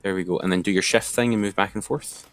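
A man talking someone through software step by step in an informal, hesitant way, thinking aloud. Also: fairly low pitch, fairly even intonation, quick pace, soft background music. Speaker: software tutor. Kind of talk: informal step-by-step screen tutorial.

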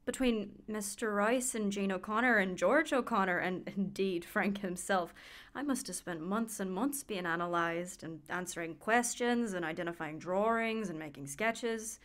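Radio play, actress as weary woman recovering her sight: candid, wry, confiding monologue, mid-pitched. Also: a bit bright, close to an everyday voice. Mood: exasperated.

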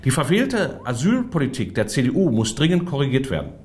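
A man's voice: medium pitch, sing-song, fairly quick, a little mellow. A man delivering a prepared political statement in a formal, stiff and emphatic manner. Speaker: politician, party spokesman.

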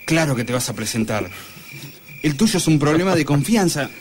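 Hombre actuando de profesor argentino zalamero, voz algo aguda. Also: male voice, a little high, lively intonation, moderate pace, background noise, close to an everyday voice. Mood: soft, coaxing. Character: scheming, desperate male piano teacher in comic melodrama. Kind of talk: comedic radio play acting.

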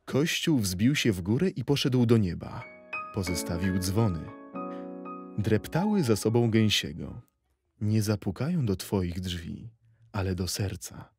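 A man's voice: lowish voice, expressive, unhurried, darkish tone, faint music behind. A man reciting poetry in a dramatic, scripted style for an audio play.

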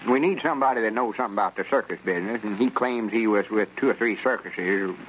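A man's voice: fairly low pitch, fast, fairly bright tone, natural voice. Male actor as self-important country storekeeper. Southern drawl, folksy and hesitant, vintage radio serial with background noise. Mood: musing. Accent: rural Southern US. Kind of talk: 1930s radio comedy serial acting. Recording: noisy old 1930s radio recording.

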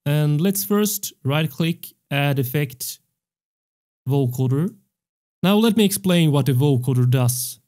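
A young man hosting a music production tutorial, explaining step by step in a measured way into a close studio mic. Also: on the high side, expressive, darkish tone. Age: young adult. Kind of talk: tutorial narration. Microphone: close studio microphone on a stand.

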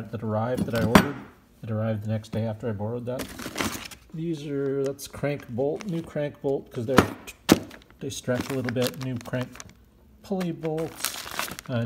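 A man with a scratchy voice, casually walking through a car repair in his garage, rambling with plenty of fillers as he handles the parts. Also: mid-range voice. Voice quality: scratchy voice from smoke. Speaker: home mechanic vlogger. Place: indoor garage workshop. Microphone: phone camera held while filming.

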